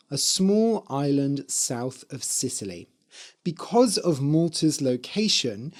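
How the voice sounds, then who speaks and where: A man hosting an English-learning podcast, speaking in a hoarse, slightly dry voice and explaining words clearly for learners. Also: on the high side, a little mellow. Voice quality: spells words out letter by letter. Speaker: podcast host and English teacher. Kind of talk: slow, clear teaching talk for learners.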